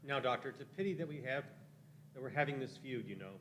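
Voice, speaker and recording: A man's voice: medium pitch, expressive, fast talker, a bit bright, natural voice. A man playing a shifty, cowardly, ingratiating time traveler in a radio play, wheedling and evasive. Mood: sly, ingratiating. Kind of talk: live radio-play acting.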